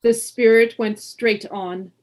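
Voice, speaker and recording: A woman narrating a ghost tale in a radio play, with measured, dramatic storytelling. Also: on the high side, fairly even intonation, darkish tone. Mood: grave, suspenseful.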